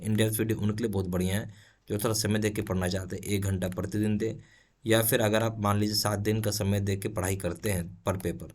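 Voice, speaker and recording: A man with an Indian accent giving an explanatory exam lesson, reading questions aloud and often checking that listeners follow. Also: fairly low pitch. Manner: instructional, checks in with frequent tag questions. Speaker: exam coaching teacher.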